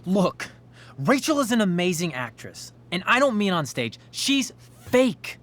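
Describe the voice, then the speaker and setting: A man's voice: high-pitched, very animated, measured pace, a bit bright, exaggerated voice. A man playing an anxious, accusing teenage boy in a dramatic video game, pressing his points with pleading emphasis. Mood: accusing, insistent.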